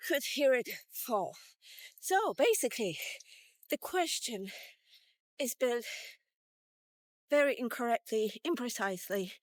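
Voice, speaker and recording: A woman's voice: fairly high pitch, dry recording. A woman in her fifties talking casually in a solo vlog, blunt and sweary, thinking aloud as she goes. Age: 50s. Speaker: vlogger. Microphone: walkie-talkie vlog, recorded on the go.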